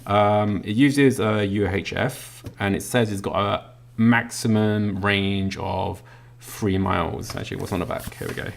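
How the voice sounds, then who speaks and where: A man with a deep voice walks through a product unboxing in a loose, explanatory way. His voice has a rough, gravelly edge, and he fills his pauses with ums and uhs while he fiddles with the device. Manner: demonstrating a gadget while talking. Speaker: product reviewer. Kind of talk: unboxing walkthrough.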